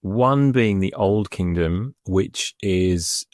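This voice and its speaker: A man, an Egyptologist, explaining ancient history in a deadpan, measured way on a podcast, with many small fillers. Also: deep voice, no echo, studio quality. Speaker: Egyptologist co-host.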